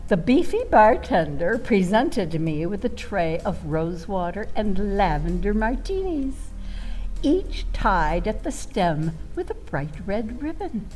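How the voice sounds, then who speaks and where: A woman's voice: medium pitch, big pitch swings, darkish tone, soft background music, rough recording. A woman playing a flamboyant, self-dramatizing storyteller in a comic radio monologue, wry and flirtatious, drawing out words for effect. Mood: melodramatic. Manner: theatrical, drawn-out delivery with pauses, sighs and exclamations.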